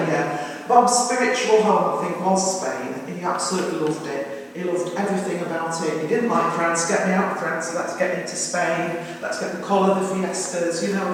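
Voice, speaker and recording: A middle-aged woman gives an informal, affectionate family eulogy in a chatty, anecdotal way. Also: on the low side. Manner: chatty, affectionate, turning to relatives for confirmation. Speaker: family member giving a funeral eulogy. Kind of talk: informal spoken tribute with anecdotes. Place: crematorium chapel. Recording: very echoey hall, distant mic, faint noise.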